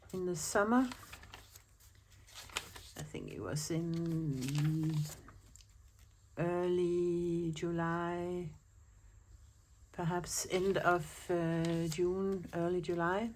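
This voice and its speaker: A woman from Denmark speaks English with a Danish accent, which is not her first language, in a relaxed chat to camera at home. Her voice sits low and her pace is unhurried, and she rambles a little, restarting phrases and filling pauses as she thinks. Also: a little hiss or hum. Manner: chatty, rambling with many fillers and restarts. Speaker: planner vlogger.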